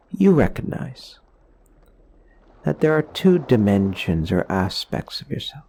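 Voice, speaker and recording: Male meditation guide, low-pitched, very unhurried, soothing, gentle explanatory phrasing.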